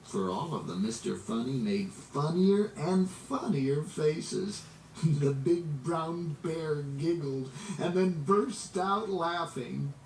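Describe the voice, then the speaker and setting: A man reading a children's story aloud in an expressive, dramatic way, drawing out words for emphasis. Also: fairly high pitch. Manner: animated, dramatic, drawn-out emphasis. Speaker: storybook reader. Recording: roomy, distant, noisy amateur recording.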